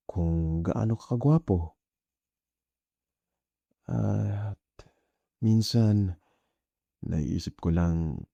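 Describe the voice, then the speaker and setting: A man with a Filipino accent playing a jealous, clingy, soft-hearted boyfriend in an intimate ASMR roleplay, speaking softly and hesitantly in a mix of two languages. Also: deep voice, vocal fry, no room sound, heavily acted. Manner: soft, hesitant, Taglish code-switching.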